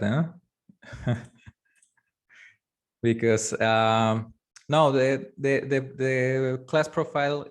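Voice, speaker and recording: A man whose first language is Spanish, teaching a live online course, talking in a halting way with many fillers and restarts. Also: mid-range voice. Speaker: course instructor. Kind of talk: live online class lecture.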